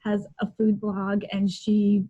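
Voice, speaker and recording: A woman's voice: medium pitch, monotone, takes it slowly, dark tone, breathy edge. A middle-aged woman chatting casually as a co-host, in a chatty, self-deprecating way. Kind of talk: casual chat. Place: home room, indoors. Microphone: video call. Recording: dull lo-fi video-call audio.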